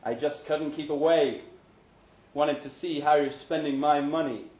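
A man's voice: a little high, fairly even intonation, measured pace, darkish tone, lightly acted. A man as a hopeful, down-on-his-luck country singer. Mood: tentative. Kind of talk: dramatic short story reading. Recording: roomy live pub reading.

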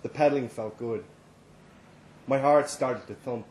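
A man's voice: mid-range voice, a little slow, darkish tone. Irish man reading a story aloud. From Ireland. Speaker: story reader. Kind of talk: live literary reading, first-person narration. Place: live reading at a London venue. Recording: live event recording, faint background noise.

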